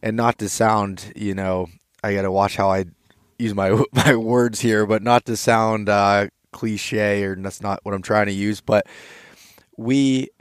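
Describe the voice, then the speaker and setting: Male host, twenties, informal and enthusiastic, slightly low clear voice, casual conversation. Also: steady tone, unhurried, dry recording, studio quality. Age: 20s. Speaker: podcast host. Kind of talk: casual chat. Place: outdoors by a campfire.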